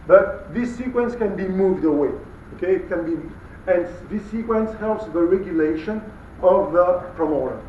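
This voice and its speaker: A man whose first language is French lectures from across a big echoey hall, his fairly high voice muffled and distant. He talks in halting runs, full of fillers and restarts. A faint hiss sits under the recording. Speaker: guest lecturer. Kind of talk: university lecture. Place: large lecture hall, indoors. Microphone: camera far from speaker.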